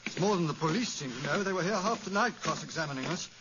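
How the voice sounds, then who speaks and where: Uneasy gentleman played by a man: rather high, animated, polite, bright tone, noisy vintage radio. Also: fast talker, lightly acted. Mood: guarded. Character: uneasy, well-bred gentleman, worried relative in a mystery. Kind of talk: 1940s radio acting. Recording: dull, very noisy old radio recording.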